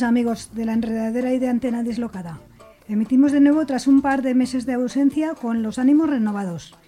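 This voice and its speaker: Woman radio presenter, mellow and slightly high voice, light music underneath. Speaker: radio programme host. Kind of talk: radio introduction and group discussion. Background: music behind the voice.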